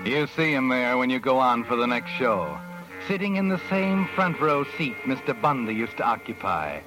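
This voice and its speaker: Man acting an ominous, animated radio mystery narrator. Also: medium pitch, normal speed, close to an everyday voice, low-quality recording. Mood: ominous, insinuating. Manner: insinuating, second-person storytelling. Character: ominous, knowing male narrator taunting the guilty heroine. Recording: vintage radio recording. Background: music.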